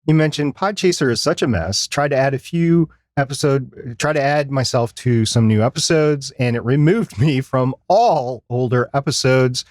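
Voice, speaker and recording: A man's voice: mid-range voice, normal speed, few pauses. A man with a mid-range voice talks as a podcast co-host. The sound is dry and free of room echo, and his style is casual discussion.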